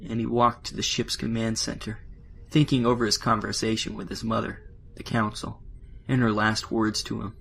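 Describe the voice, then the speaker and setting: A man narrates a science-fiction audio drama in a fairly low voice. He reads scripted prose, with faint noise and ambience behind him. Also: gravelly, fairly bright tone.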